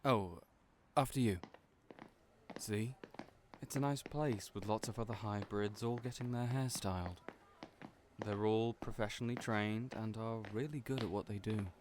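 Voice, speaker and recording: A man playing a kind, gentle friend in an audio roleplay, who speaks hesitantly with frequent fillers. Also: lowish voice, unhurried, long pauses, background noise, natural voice.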